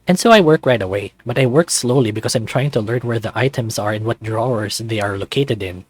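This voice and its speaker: A man's voice: lowish voice, a little fast, no room sound. A man casually reading a visual novel aloud and commenting on it as he plays. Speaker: let's player of a visual novel. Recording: music playing behind close dry voice.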